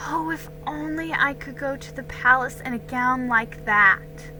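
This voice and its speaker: A woman reads as a young storybook girl of colonial times, high and bright. She is wistful and dutiful, dwelling earnestly on her longings. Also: unhurried, faint music behind, full character voice, rough recording. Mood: wistful, hopeful.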